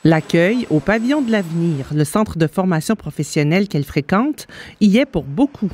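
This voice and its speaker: A woman's voice: fairly low pitch, lively intonation, normal speed, a little mellow, a little hiss or hum. A woman reading scripted narration for a video report as its reporter. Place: voice-over recording. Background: music bed.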